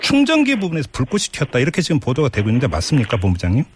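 A man's voice: medium pitch, wildly swooping pitch, rapid-fire, slight background noise. A man hosting a radio news interview, asking probing questions and pressing with follow-ups.

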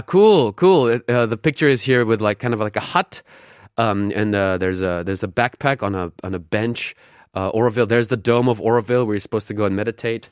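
A middle-aged man hosting a radio show in a studio, relaxed and chatty with many fillers. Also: medium pitch, no room sound. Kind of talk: casual radio chat. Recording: dry studio sound, faint music behind.

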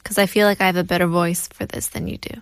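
A woman's voice: lowish voice, flat delivery, fast, no room sound, studio quality. A woman co-hosting a morning radio show, teasing her partner and reading affirmations in a theatrical, dramatic way. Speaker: radio co-host. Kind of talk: casual morning radio banter.

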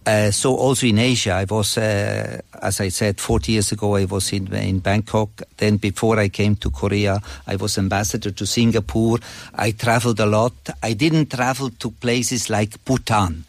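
A man in his sixties with a Swiss accent, speaking conversationally as an interview guest in halting, non-native English. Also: lowish voice, little pitch movement, vocal fry, no room sound. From Switzerland. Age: 60s.

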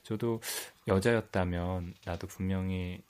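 Low-voiced male podcast host. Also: steady tone, a little slow, a little mellow. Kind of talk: casual podcast talk.

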